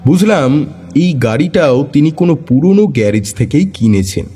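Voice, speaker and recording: A man's voice: on the high side, expressive, normal speed, mellow, husky. A man narrating a detective story in the first person, with a wry, dryly humorous manner. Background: faint background music.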